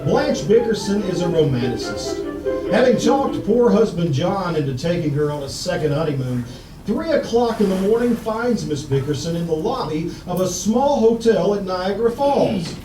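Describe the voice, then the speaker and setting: A man's voice: high voice, expressive, normal speed. A man announcing in the scripted style of old-time radio comedy. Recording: roomy old radio, distant, faint noise. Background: music.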